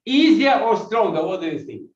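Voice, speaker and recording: A man's voice: sits high, very animated, fairly quick, a little mellow. A man from Ukraine with a Ukrainian accent, preaching a sermon and putting questions to the congregation. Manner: walks while preaching, asks the congregation questions. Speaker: guest pastor. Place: church hall. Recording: echoey church from far off, dull sound.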